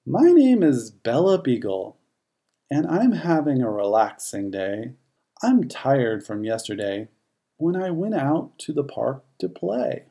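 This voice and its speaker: Narrator voicing a slow, lazy beagle. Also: medium pitch, very animated, mellow. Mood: relaxed. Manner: unhurried, rhyming first-person storytelling. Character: lazy, relaxed beagle dog.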